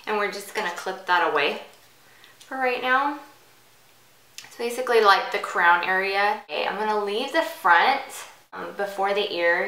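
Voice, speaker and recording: Bright-voiced woman in an echoey room. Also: mid-range voice, recorded from a distance. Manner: chatty, informal. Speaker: hairstyling tutorial host. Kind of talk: casual step-by-step demonstration. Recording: bright roomy echo, off the mic.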